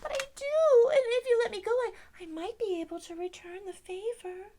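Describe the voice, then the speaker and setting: A woman's voice: very high voice, normal speed, warm tone, voice pushed up. A woman voicing a small, timid male storybook mouse who pleads earnestly and stammers over repeated words. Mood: nervous pleading.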